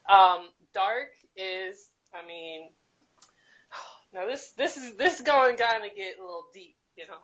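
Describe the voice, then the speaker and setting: A woman guest artist with a deep voice, musing in a meandering casual chat on a live video call. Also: takes it slowly, a bit bright, highs cut off. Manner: reflective, meandering, with frequent "like" and "you know". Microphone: live-stream call.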